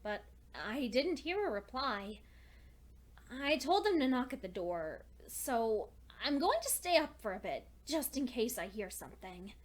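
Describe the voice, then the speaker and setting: High-voiced, frightened female pony acted by a woman. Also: very animated. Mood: subdued, wary. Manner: anxious, halting, stammering, emotionally intense delivery. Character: frightened, lonely young female pony keeping a journal. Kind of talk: dramatic first-person horror fan fiction reading.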